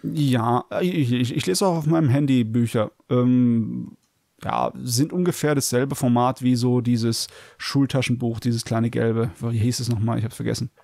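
Gravelly man chatting up close. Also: mid-range voice, fairly even intonation, a little slow, mellow, dry recording. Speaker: podcast co-host. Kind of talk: casual chat.

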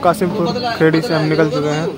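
A man's voice: little pitch movement, quick pace, a loud crowd. A man giving casual travel vlog commentary from inside a moving train. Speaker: railfan vlogger and host. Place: inside a moving train by an open window or door. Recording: loud rattling train noise throughout. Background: loud train rumble and rattle.

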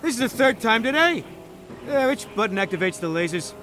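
Comic game guard, fussy and flustered, high-pitched, very lively delivery. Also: male voice, brisk, over music. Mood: flustered, officious. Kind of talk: comic video game voice acting.

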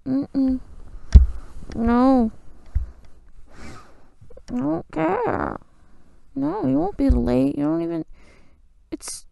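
A woman's voice: high voice, vocal fry, mellow, heavily acted, rough recording. A woman playing a clingy, playfully bossy girlfriend in an improvised ASMR roleplay, murmuring drowsily close to the mic and often dropping to a whisper. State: sleepy.

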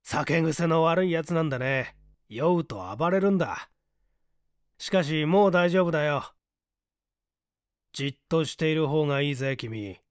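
A man voicing a composed gentleman hero in a story reading, calm and politely menacing. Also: on the high side, a little slow, long pauses, clear, resonant tone, no room sound, clean studio sound. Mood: cool, composed. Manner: calm, polite, clipped authority.

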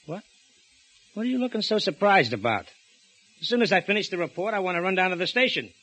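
An actor playing a smug, short-tempered police husband in a 1940s radio drama, impatient and snapping. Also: male voice, sits high, expressive, fast talker, a bit bright, rough recording. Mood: irritable. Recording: old 1940s radio broadcast with music.

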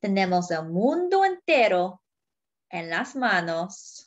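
A woman's voice: mid-range voice, big pitch swings, a little slow, muffled recording. A woman reading a picture book aloud to young children, in simple phrases as a children's storyteller.

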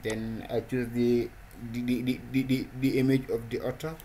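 A man hosting a programming tutorial, talking through his screen in a halting way, repeating words and using non-standard English phrasing. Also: medium pitch, little pitch movement, mellow. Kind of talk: casual screen-recorded coding walkthrough. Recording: noisy home recording.

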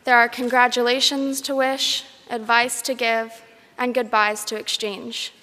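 A young woman, a graduating student, gives a prepared commencement speech in a measured, formal way. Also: on the high side, flat delivery, sharp tone. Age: young adult. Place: large concert hall, speaking at a graduation ceremony. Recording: echoey large hall PA.

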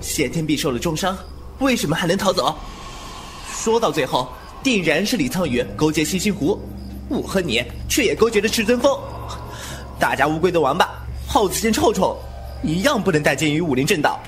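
Glib young martial-arts rogue, male actor, very lively delivery, teasing, audio drama with music underneath. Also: fairly high pitch. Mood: exasperated. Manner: cheeky, quick to mock. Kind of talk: wuxia audio drama acting.

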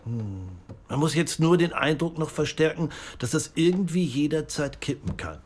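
An actor playing a thoughtful, analytical mentor who speaks in a measured way in an audio drama. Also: male voice, a little high, lively intonation. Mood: pensive. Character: thoughtful male mentor weighing up a story. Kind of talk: naturalistic audio drama acting.

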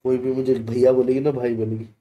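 A man's voice: mid-range voice, flat delivery, fairly quick, muffled recording. A young adult man who streams games, chatting casually and reacting in short remarks. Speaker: gaming streamer. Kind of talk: casual livestream chat and reactions. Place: small indoor streaming setup.

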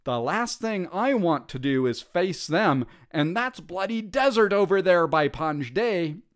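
A man voicing an uneducated, wary British soldier with rough grammar in a storybook reading. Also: sits high, lively intonation, a little slow, pitched above the performer's own voice. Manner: rough ungrammatical speech.

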